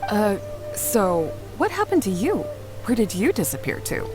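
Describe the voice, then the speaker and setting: A woman voicing a young female game traveler who is sympathetic but easily amused, expressive and teasing. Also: mid-range voice, unhurried, rough recording. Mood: concerned. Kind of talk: video game voice acting. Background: background music.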